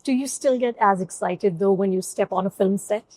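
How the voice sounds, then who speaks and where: A woman interviewer with a voice at an ordinary middle pitch asks brief, prompting questions. The recording is dry and close, with little room sound. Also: moderate pace, a little mellow, dry recording.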